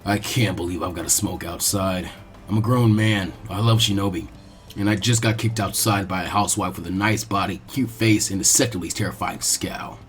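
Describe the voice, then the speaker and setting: A man voicing a gruff, wry grown male ninja teacher who smokes, in a fan-fiction story reading. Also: low-pitched, steady tone, fairly quick, soft background music, voice pushed down, lightly acted. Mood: grumbling.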